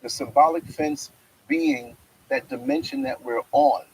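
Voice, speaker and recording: Man with gravelly, swinging pitch. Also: big pitch swings, measured pace, slight background noise, poor audio. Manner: wide swings in pitch, reflective and preacherly. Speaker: host. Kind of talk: spiritual monologue to camera. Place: outdoors in a fenced yard.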